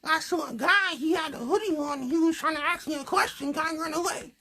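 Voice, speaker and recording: A man's voice: very high-pitched, a little fast, crowd noise, pitched above the performer's own voice. A man voicing a chatty, sassy young girl puppet who tells stories and complains in colloquial speech. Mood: recounting. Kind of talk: improvised puppet show storytelling.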